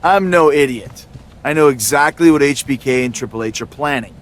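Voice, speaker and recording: An actor playing a smug, scheming wrestling villain, sarcastic and self-important, in a video game cutscene. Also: male voice, medium pitch, moderate pace, slight background noise, natural voice.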